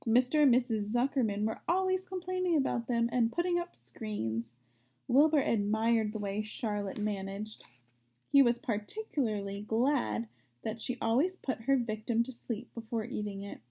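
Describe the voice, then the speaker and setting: A woman reading a children's story aloud, pausing for simple explanatory asides. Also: mid-range voice, creaky, dark tone. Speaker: story reader.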